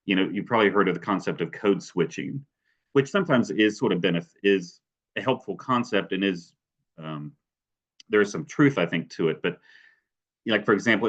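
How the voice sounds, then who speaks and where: A man's voice: low voice, a bit bright, dull audio. A man from West Virginia giving an academic webinar talk, hedging often with fillers like "sort of" and "kind of" and restarting his sentences. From West Virginia, USA. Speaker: presenter.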